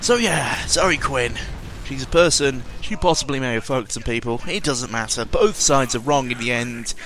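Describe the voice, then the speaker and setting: A man's voice: medium pitch, lively intonation, normal speed, a bit bright, slight background noise. A man giving a casual, rambling audio-log monologue as host, sardonic and cynical, often interrupting himself and swearing freely.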